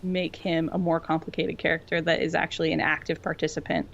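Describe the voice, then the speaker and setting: A woman's voice: deep voice, flat delivery, brisk, gravelly. A woman chats casually as a podcast co-host. She rambles and circles back on her thoughts, and the audio is a little dull. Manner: rambling, tangential, filler-heavy with frequent "like". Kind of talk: casual fan discussion chat.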